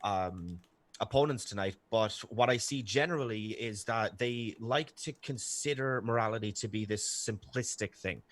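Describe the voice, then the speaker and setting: An Irish-accented man speaking as a debater in a low but bright, forceful voice. He gives off-the-cuff opening remarks, pausing and hesitating often, with a close, dry sound. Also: no echo.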